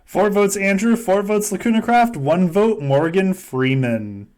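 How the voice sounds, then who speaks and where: A man's voice: high-pitched, unhurried, a little mellow. A man hosting a podcast game in casual, joking chat, swearing freely as he goes. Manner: sweary, jokey. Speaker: podcast host running a fan survivor game.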